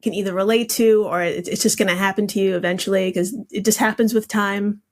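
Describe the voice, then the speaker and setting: A woman's voice: medium pitch, steady tone, a little fast. A woman appearing as a podcast guest, chatting casually and joking along.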